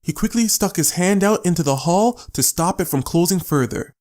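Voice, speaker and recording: Male audiobook narrator, scripted storytelling, moderately high pitch. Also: expressive, moderate pace, darkish tone.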